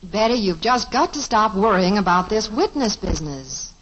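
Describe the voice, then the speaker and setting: An actress playing a calm, sensible mother soothing her children, in 1950s radio sitcom acting. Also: medium pitch, normal speed, a little hiss or hum, natural voice. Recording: dull old radio recording.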